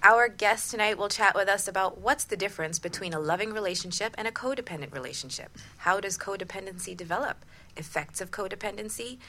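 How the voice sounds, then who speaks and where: A woman's voice: medium pitch, fast, pushed and bright, slight background noise. A woman co-hosting a talk radio show as a relationship coach, in a partly scripted presenting style.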